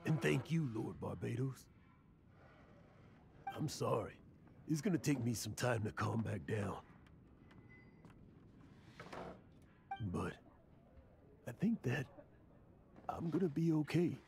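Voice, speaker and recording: A man playing an aging adventurer in a video game, weary, emotional and full of guilt, with a gravelly voice. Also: medium pitch, expressive, unhurried, pauses often, darkish tone, a little hiss or hum. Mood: shaken, relieved. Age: older.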